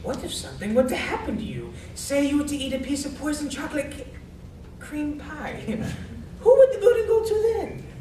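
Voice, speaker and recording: A woman's voice: high-pitched, very animated, normal speed, dark tone. A woman acting a scheming, gleeful mob boss with theatrical sly innuendo in a stage comedy. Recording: echoey hall, far mic, noisy.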